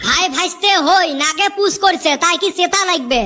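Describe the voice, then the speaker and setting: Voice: very high-pitched, moderate pace, background noise. An actor voicing a lazy, wisecracking young village lad in a comedy cartoon, teasing in a Rangpuri accent from northern Bangladesh. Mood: mocking. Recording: music behind the voice. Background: music.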